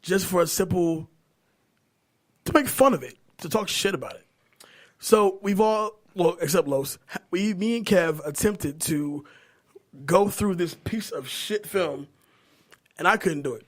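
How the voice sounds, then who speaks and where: A man hosting a casual movie podcast, bantering in an animated, profane way. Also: high-pitched, a little slow, pauses often. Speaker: podcast host.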